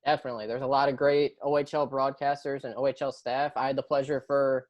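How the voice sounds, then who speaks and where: A man's voice: mid-range voice, little pitch movement, quick pace, no echo. A man hosting a conversational interview podcast, speaking close to the mic. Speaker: podcast host.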